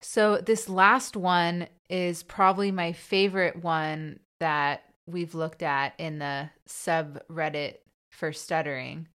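A young woman with a lowish, clear and smooth voice talks casually as a podcast co-host. She is open and warm-humored about her own stutter, laughing often, and her speech has small repetitions. She sounds relaxed and conversational. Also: slow pace. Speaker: co-host, person who stutters.

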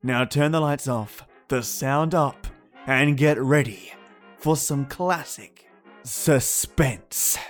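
A man's voice: mid-range voice, takes it slowly. A man hosting a podcast, delivering a scripted introduction in a theatrical way that savours each phrase. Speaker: podcast host. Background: music behind the voice.

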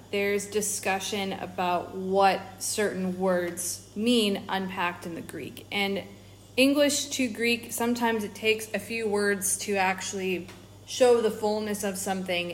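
A woman co-hosting a Bible study podcast, explaining word meanings in a calm, teacherly way. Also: medium pitch, slow, a little hiss or hum. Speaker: podcast co-host.

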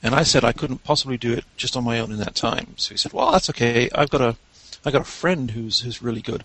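A man's voice: medium pitch, fast, breathy edge. Wry English man telling stories. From England. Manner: relaxed, wry storytelling with many fillers. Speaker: guest author. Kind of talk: casual interview chat.

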